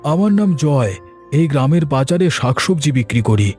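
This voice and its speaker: A sociable, eager village vegetable seller acted by a man in a cartoon. The voice is pushed up high, over a music bed. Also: animated, normal speed, a little mellow, close to an everyday voice. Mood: friendly. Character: chatty, easygoing village vegetable seller, male. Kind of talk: cartoon story dialogue.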